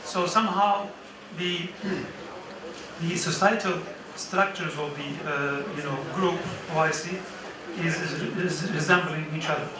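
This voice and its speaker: Halting man speaking in an echoey hall. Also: a little high, steady tone, noisy background. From Turkey. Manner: halting, many fillers and restarts. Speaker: guest speaker. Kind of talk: formal conference remarks. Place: large conference hall. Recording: echoey hall, distant mic.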